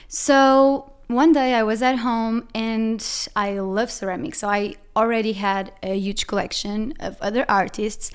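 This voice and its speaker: A woman's voice: on the high side, clean tone. A young woman with a Portuguese accent, a Portuguese native speaker, hosting a casual Q&A and chatting with many fillers. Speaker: podcast host and ceramic artist. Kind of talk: casual Q&A chat.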